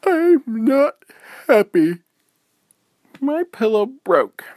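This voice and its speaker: A man's voice: mid-range voice, wildly swooping pitch, moderate pace, no echo, voice pushed up. A man voicing a bossy, teasing little girl in a bedtime story, taunting in a sing-song. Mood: whiny.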